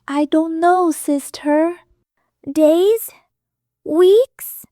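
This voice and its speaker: A woman playing a frightened, caring young woman in an audiobook drama, speaking in hushed, hesitant tones. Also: very high voice, extremely slow, no room sound, studio quality, heavily acted. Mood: scared, uncertain.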